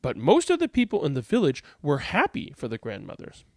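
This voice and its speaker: Man, higher-pitched voice, lively intonation, storybook read-aloud host, dry recording. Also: a little fast, no room sound. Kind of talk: expressive picture-book reading and informal commentary.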